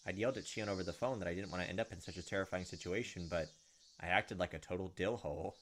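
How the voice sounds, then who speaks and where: A man's voice: low-pitched, quick pace. Young man narrating over noisy ambience. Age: young adult. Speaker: gaming video host. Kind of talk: reading visual novel narration aloud, with casual asides.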